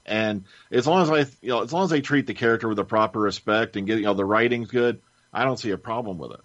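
A man's voice: fairly low pitch, fast talker, fairly bright tone, no room sound, highs cut off. A man speaking as a podcast panelist in casual, informed chat.

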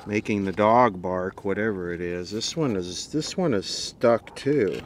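A man's voice: on the low side, unhurried, a little hiss or hum. A man giving a casual, unscripted hands-on demonstration as a video host, dry and wry in manner. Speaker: video host and radio repair hobbyist.

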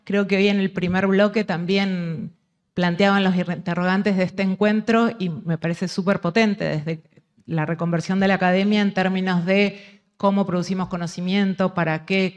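A woman's voice: on the low side, lo-fi sound. A woman from Argentine Patagonia speaking from the audience at a conference, earnest and thinking aloud with restarts. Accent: Argentine Spanish. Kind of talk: spontaneous comment at a panel. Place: university conference hall.

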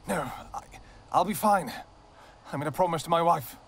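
A man's voice: fairly high pitch, normal speed, creaky, a little hiss or hum. A man playing a devoted royal vampire king in an audio roleplay, tender with his wife and commanding with his soldiers. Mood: urgent.

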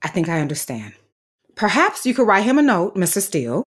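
An actress playing a dedicated, firm but anxious third-grade teacher in an audio drama, speaking in a measured, articulate, formal way. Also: female voice, medium pitch, very animated, husky. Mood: conciliatory.

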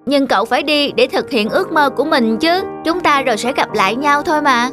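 A woman voicing a brave, loyal and protective schoolboy in an animated cartoon. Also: high-pitched, brisk. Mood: encouraging. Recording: loud children's music behind.

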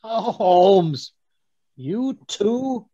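A man playing a flustered, indignant older gentleman doctor in a radio mystery, husky, gravelly and stammering. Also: high voice, lively intonation, very slow, heavily acted. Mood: flustered, protesting. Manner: stammering, blustering. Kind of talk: old-time radio mystery acting. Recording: dull video-call audio.

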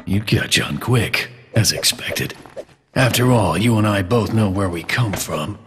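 A man's voice: on the low side, measured pace, noisy background, natural voice. An actor playing a scarred, menacing male commando who taunts and threatens, in a video game cutscene. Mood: mocking. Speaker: mercenary villain. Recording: game cutscene with loud music.

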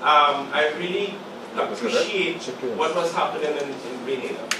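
Hoarse man at a podium in an echoey room. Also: on the high side, a crowd in the background. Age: 40s. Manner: measured, halting, with many pauses and fillers. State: sore throat from a cold. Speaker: moderator. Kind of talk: opening remarks at a panel discussion. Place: small meeting room with a live audience. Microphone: podium mic, filmed from the back of the room. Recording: echoey room recorded from far off.

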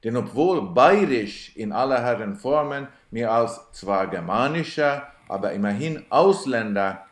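Guy narrating, dry self-mocking tone, slow pace, roomy reverb. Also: medium pitch, animated. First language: Swedish. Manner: dry, self-ironic storytelling. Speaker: cyclist narrating his trip video. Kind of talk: scripted voice-over narration.

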